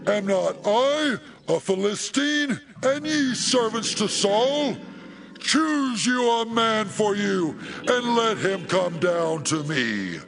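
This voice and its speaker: An actor playing a boastful, menacing giant champion warrior in a dramatized Bible, declaiming his challenge in drawn-out phrases. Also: sits high, lively intonation, gravelly, heavily acted. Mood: menacing, defiant. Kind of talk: dramatized Bible audio acting. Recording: voice over loud background music.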